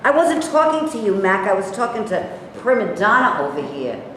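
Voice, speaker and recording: A woman reading the part of a belligerent, dismissive, sexist loudmouth at a staged play reading. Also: medium pitch, very animated, normal speed. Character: gruff, sexist, Reagan-loving loudmouth man. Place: staged reading in an echoey room, distant mic. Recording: echoey noisy room from far off.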